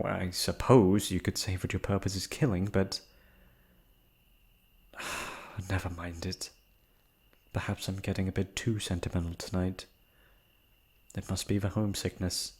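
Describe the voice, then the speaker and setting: A man's voice: low voice, level tone, measured pace, long pauses, a little mellow, husky, heavily acted. A man acting a shy, proud, wistful noble prince in a romantic fantasy roleplay, with hesitant, formal, sighing speech. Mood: sentimental.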